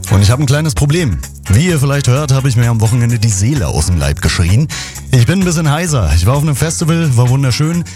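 Hoarse man, radio host, chatty, lively, music playing under the voice. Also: mid-range voice. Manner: chatty, lively, addresses listeners directly. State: slightly hoarse. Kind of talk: casual radio presenting.

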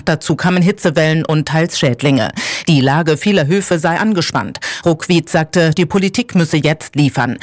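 A woman's voice: sits low, no echo. Female correspondent, matter-of-fact report, dry close sound. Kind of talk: scripted news report.

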